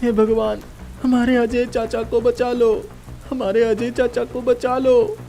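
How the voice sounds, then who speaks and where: High-pitched frightened little boy. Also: flat delivery, slow pace, dark tone, exaggerated voice. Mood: pleading. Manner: pleading, fearful. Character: frightened young village boy calling for uncle. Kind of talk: animated moral story dialogue.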